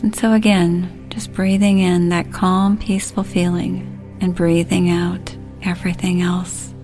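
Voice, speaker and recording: A woman leading a guided relaxation, speaking soothingly and gently in short, unhurried phrases. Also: on the low side, little pitch movement, faint music behind.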